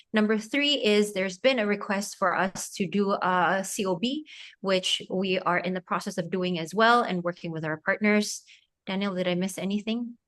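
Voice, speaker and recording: Woman exec on an investor q&a call, medium pitch, goes point by point. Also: fairly bright tone. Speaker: company executive. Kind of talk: answering investor questions on an earnings webinar.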